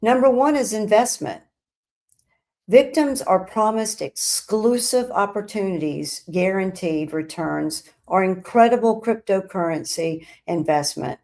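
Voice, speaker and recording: A woman hosting a scripted explainer, speaking in deliberate, emphatic phrases with pauses. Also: mid-range voice, a little mellow. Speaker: host of a crime statistics show.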